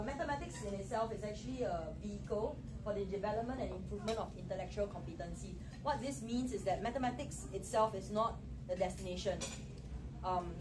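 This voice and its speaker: A woman's voice: medium pitch, recorded from a distance, noisy background. A woman from Singapore with a Singaporean accent, presenting a math-teaching seminar and often checking in with her listeners with "okay?". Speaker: education presenter.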